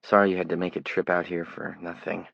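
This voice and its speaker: A man's voice: low-pitched, flat delivery, a little fast, gravelly, fairly bright tone, no echo, dull audio, exaggerated voice. A man voicing a wary young man who speaks politely but firmly.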